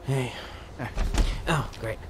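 An actor playing a chatty, jaded young tech worker with an American accent in a video game, rambling in slangy jargon. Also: male voice, medium pitch, extremely slow, over music. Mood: griping. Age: young adult. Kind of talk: video game cutscene acting.

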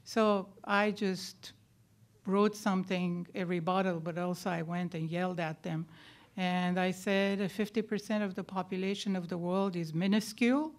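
An older woman giving a personal account as an academic panelist, speaking deliberately with emphatic stresses into a table microphone in a lecture room. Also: on the low side, fairly even intonation. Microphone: microphone on a table stand.

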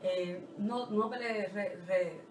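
Middle-aged woman, Dominican Spanish accent, animated, stumbling restarts, roomy radio studio, mic a bit distant. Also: medium pitch, background noise. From Dominican Republic. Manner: animated, halting with many restarts. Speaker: singer, interview guest. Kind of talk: casual interview talk. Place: small radio studio with curtain backdrop. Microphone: desk mic on stand, heard from a distance.

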